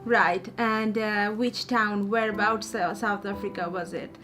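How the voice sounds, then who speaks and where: A woman's voice: medium pitch, fairly even intonation, measured pace, bright, forceful tone. A woman hosting an interview for a coaching academy, asking questions hesitantly with many fillers and restarts. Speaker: interviewer, life coaching host. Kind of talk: hosted interview. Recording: music playing behind.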